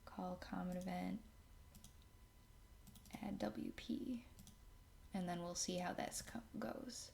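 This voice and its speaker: Slow-paced woman giving a casual software tutorial. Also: lowish voice, fairly even intonation, vocal fry, a little hiss or hum. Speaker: tutorial host. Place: indoors at a computer.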